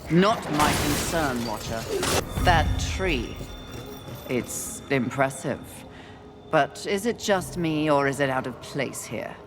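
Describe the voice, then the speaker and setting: A woman's voice: low voice, animated, slow pace, music in the background. An actress playing a haughty, scornful female warrior of the apocalypse in a video game cutscene, imperious and dry. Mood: menacing.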